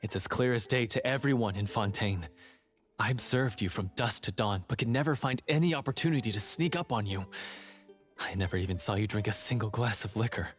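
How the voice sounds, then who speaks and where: A man's voice: on the low side, fairly quick, natural voice. A man playing a remorseful, self-doubting young swordsman in a fantasy game, earnest and hesitant as he speaks. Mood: rueful. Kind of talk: scripted fantasy game dialogue. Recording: music playing under the voice.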